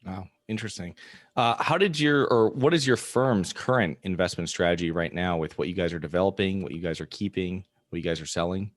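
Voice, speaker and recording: Fast-talking man hosting, low dry voice. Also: no room sound. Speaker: podcast host, interviewer. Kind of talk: scripted introduction and interview questions.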